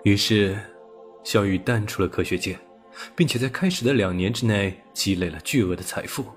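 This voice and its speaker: Male audiobook narrator, lowish pitch, scripted storytelling read, light music underneath. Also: animated, moderate pace.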